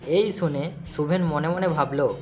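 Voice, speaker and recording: A man narrates a scripted cartoon story in a fairly high voice. Faint music plays behind him. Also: measured pace, darkish tone.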